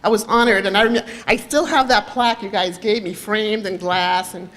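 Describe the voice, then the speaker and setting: A woman's voice: medium pitch, steady tone, moderate pace. A Dominican-born woman in her fifties giving an off-the-cuff, rambling ceremony speech, slipping in Spanish now and then. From Dominican Republic. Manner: warm, rambling, mixes in Spanish. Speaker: judge and honoree speaking at a ceremony.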